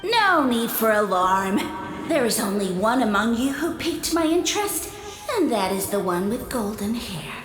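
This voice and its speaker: A haughty mushroom sovereign from a video game, grandly formal and condescending. The high voice swoops theatrically in a roomy echo, with music clearly behind it. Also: female voice, sing-song, moderate pace. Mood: grand, amused. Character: pompous, condescending sentient mushroom sage lord. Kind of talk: video game character acting.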